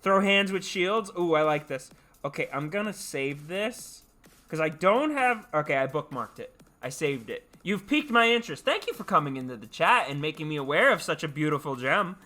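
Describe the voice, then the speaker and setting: A man's voice: a little high, lively intonation, moderate pace, sharp tone. A man chatting casually and playfully with live stream viewers, often repeating his words and phrases. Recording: music playing behind the voice. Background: background music.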